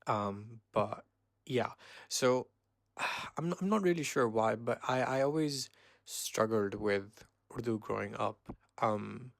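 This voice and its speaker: A man in his twenties from Pakistan, hosting a casual solo podcast and rambling with many pauses and self-corrections. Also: medium pitch, creaky, no echo, clean studio sound. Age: 20s. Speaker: podcast host.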